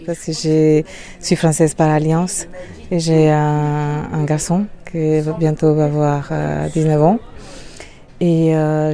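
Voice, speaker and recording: Guest: middle-aged woman, low flat voice, halting delivery. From Colombia. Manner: hesitant, many pauses and fillers. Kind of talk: interview conversation.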